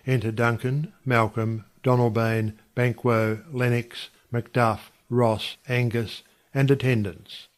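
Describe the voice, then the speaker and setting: A man's voice: medium pitch, flat delivery, slow, few pauses. A man narrating stage directions in a scripted audiobook reading.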